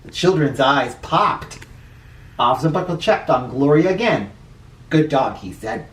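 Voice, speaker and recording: A man reading a picture book aloud to children in an expressive, playful way, acting out the dialogue and exclamations. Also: medium pitch, moderate pace. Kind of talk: animated storybook read-aloud. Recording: roomy echo, distant microphone.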